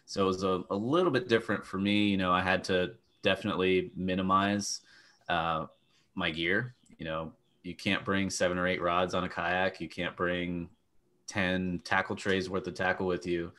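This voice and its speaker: Casting: young man, very low voice, plain practical fishing coach on a video call. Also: fairly even intonation, moderate pace, pushed and bright. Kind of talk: casual panel chat.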